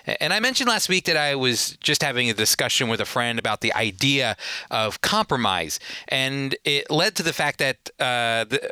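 Bright, forceful male Bible teacher. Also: medium pitch, dry recording. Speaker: radio host and Bible teacher. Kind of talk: conversational radio Bible teaching.

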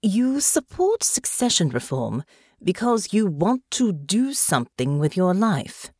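A woman voicing a noble countess who speaks calmly and quietly but never yields. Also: mid-range voice, husky, dry recording, clean studio sound. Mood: cool, probing. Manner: calm, quiet, measured, probing. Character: cool, unyielding noble countess.

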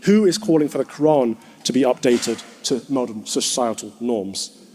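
A man, a clergyman, giving a formal, measured speech to an assembly. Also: mid-range voice, warm tone. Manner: measured, firm oratory.

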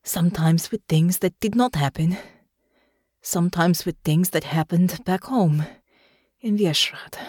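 A woman narrator playing a haunted foreign war veteran, a woman who speaks in halting, clipped phrases with tenderness underneath. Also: lowish voice, darkish tone, breathy edge, no echo, clean studio sound. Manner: weary, halting, clipped phrasing with dropped articles. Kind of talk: audiobook character acting.

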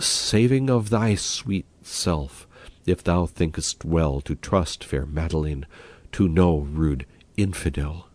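A man's voice: sits low, a little mellow, dry recording, full character voice. A man voicing a devoted young lover in a recited poem, speaking earnestly and urgently.

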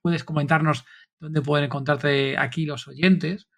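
A man's voice: fairly high pitch, fairly even intonation, measured pace, creaky. A man, co-hosting a podcast in a casual, friendly way and steering the conversation. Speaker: podcast co-host. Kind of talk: casual interview chat.

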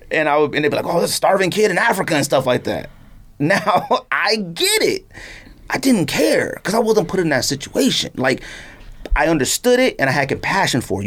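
A middle-aged man hosting a podcast, talking casually and emphatically, rambling and repeating himself to stress his opinions. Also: fairly high pitch. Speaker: sneaker podcast host. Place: podcast studio.

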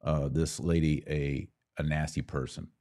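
Low voiced guy hosting a podcast, casual opinionated commentary, kinda mocking when he mimics people. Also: fairly even intonation, measured pace, mellow. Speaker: podcast host giving political commentary.

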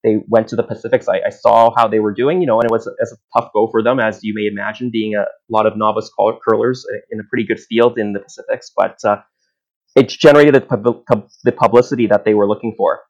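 A man in his thirties from Hong Kong, chatting as an interview guest. He talks in a slightly low voice, hesitant, full of fillers and restarts. The audio is dull and lo-fi. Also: fairly even intonation, fast, darkish tone. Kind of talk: casual interview chat.

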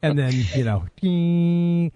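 A man's voice: on the high side, warm tone, clean tone, no echo. A man co-hosting a podcast, chatting casually and jokily as he reads listener feedback. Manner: jokey, self-interrupting, quick to laugh. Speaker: podcast co-host.

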